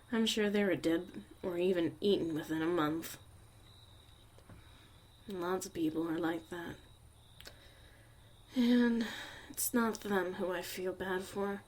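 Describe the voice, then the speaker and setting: A woman playing a jaded, blunt young witch mother in a soft, sardonic ASMR roleplay about surviving the apocalypse. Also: fairly low pitch, takes it slowly, pauses often. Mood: bitter, dismissive. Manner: sardonic, casual, swearing, dismissive.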